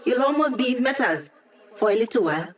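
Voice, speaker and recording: A woman's voice: a little high, lively intonation, fairly quick, close to an everyday voice. An actress voicing a witty young female hacker in a video game, a digitized mind who helps a squad with breezy, wry, techy teasing. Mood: focused, eager. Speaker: mission support character in a video game.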